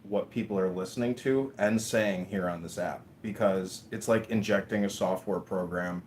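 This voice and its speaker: A man's voice: sits low, normal speed, a little mellow, a little hiss or hum. A man talking casually in long rambling stretches, swearing and laughing as he makes his points. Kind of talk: casual conversation.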